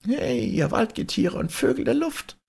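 A man's voice: very high voice, sing-song, normal speed, creaky, a little mellow, breathy edge, pitched above the performer's own voice, exaggerated voice. A man voicing a commanding old sorceress in a storybook fairy tale. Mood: calling out. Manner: commanding, calling out to servants. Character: kindly old woman sorceress, mother-in-law with magic servants.

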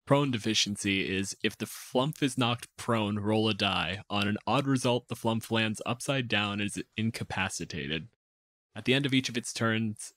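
A man's voice: on the low side, fairly bright tone, dry recording. A man in casual, analytical podcast discussion of game rules, speaking emphatically and articulately. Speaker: podcast panelist.

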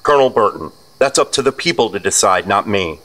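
An actor playing a senior American secret agent in a radio drama, commanding and clipped. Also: male voice, fairly low pitch, animated, a little fast, low-quality recording. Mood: firm. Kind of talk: 1940s radio drama acting. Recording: loud music behind.

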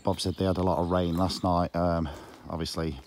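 A middle-aged man from England with a croaky voice, joking casually as he films his vlog outdoors. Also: low voice, little pitch movement, brisk, darkish tone, a little hiss or hum. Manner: jokey, teasing banter. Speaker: vlogger. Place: outdoors by a lake and countryside path. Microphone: phone camera held close.

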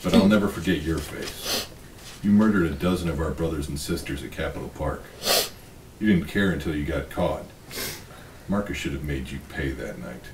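A man's voice: a bass voice, moderate pace. A man playing a bitter, grieving android, stern and accusing, in a script read. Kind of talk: table read of a film script. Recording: roomy, distant mic, faint noise.

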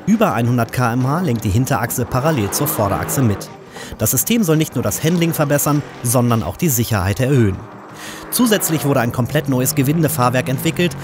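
A man with a German accent reading a scripted, brisk and technical car-review voice-over. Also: medium pitch. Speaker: car magazine narrator. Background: music.

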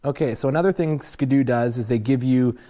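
A young man casually walking viewers through installing a snowmobile accessory in a garage workshop, relaxed and plain-spoken with many fillers. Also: medium pitch, fairly quick, soft background music. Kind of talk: casual step-by-step install walkthrough.

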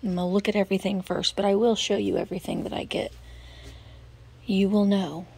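An American woman giving casual, murmured shop-along commentary in a hushed, gentle voice that often drops to a near-whisper, recorded on a handheld camera microphone. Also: mid-range voice, level tone, fairly quick. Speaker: vlogger showing finds while shopping. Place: indoors in a cluttered antique mall. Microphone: handheld camera's built-in microphone. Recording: clear ambient store noise, sensitive camera microphone.

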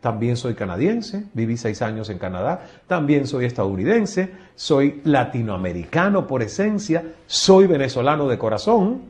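A man's voice: mid-range voice, normal speed, hardly any pauses. A Cuban man in his forties with a Cuban Spanish accent, giving an emphatic, reflective interview answer in a small office at a table mic. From Cuba. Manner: expressive, gestures a lot, shifts between emphatic and soft. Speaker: interview guest. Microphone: table mic in front.

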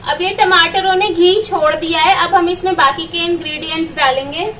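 A woman's voice: extremely high pitch, fairly even intonation, normal speed, bright, forceful tone, far from the mic, soft background music. A woman explaining a recipe step by step as she cooks in her home kitchen. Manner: step-by-step instructing. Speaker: home cook vlogger. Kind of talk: cooking demonstration.

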